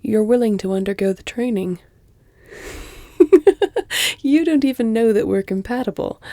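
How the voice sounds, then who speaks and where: Woman acting a centuries-old female vampire, audio roleplay, dark mellow tone, very close dry sound, measured, teasing, breathy near-whispers. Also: medium pitch, dry recording. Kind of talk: intimate audio roleplay, scripted dramatic acting. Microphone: close, dry studio-style mic.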